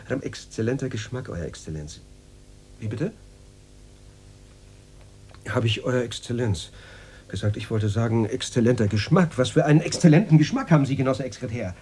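A man's voice: mid-range voice, expressive, moderate pace, pauses often, dark tone, breathy edge. An actor in a radio drama playing a nervous, servile Moscow radio director, flustered and stammering as he repeats himself. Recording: noisy radio play recording with hum.

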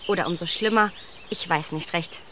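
A woman's voice: lowish voice, normal speed, background noise, lightly acted. An actress playing a clever young lady in a radio play, probing and ironic in her questions.

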